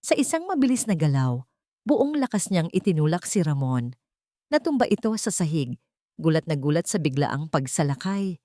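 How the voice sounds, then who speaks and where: A woman's voice: sits low, big pitch swings, moderate pace, warm tone, no echo, studio quality. A woman narrating a scripted audio story in a dramatic storytelling style.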